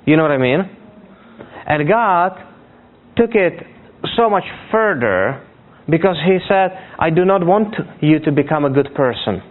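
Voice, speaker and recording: A man from Africa preaching conversationally and earnestly, often repeating words haltingly and checking in with his listeners. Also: on the high side, expressive. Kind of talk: conversational sermon. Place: speaking to a church congregation. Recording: tinny, phone-like narrow band.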